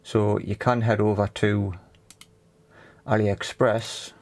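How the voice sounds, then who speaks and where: A man casually explaining a hands-on tech repair in a matter-of-fact, halting way. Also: on the low side, flat delivery, a little mellow. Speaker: repair video presenter.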